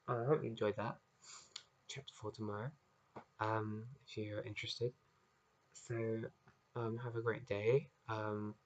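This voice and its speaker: Croaky sleepy guy reading his story chapter on a laptop webcam, gravelly, rambly um-filled sign off. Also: medium pitch, a bit bright, muffled recording. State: just woken up. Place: at home in front of a laptop.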